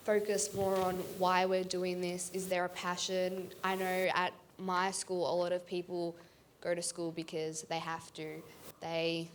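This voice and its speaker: A woman's voice: on the low side, slight background noise. Earnest young Australian woman on stage. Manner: earnest, thoughtful, with many ums. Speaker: student panelist. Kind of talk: panel discussion. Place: large auditorium stage. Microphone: handheld mic.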